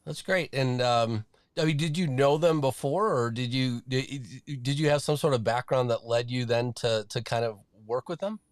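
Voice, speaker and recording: A man with a mid-range voice hosts a podcast in an upbeat, loose way, often restarting and filling pauses. The recording is dry and close, with almost no room sound. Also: medium pitch, no room sound. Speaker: podcast host. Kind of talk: podcast interview hosting.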